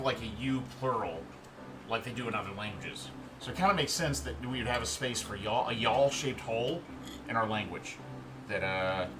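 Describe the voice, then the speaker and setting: A man in his thirties hosting a livestream in a relaxed, rambling way, chatting and reading out viewer comments. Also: mid-range voice. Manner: relaxed, rambling, answers chat as he goes. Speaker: livestream host. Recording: steady background noise.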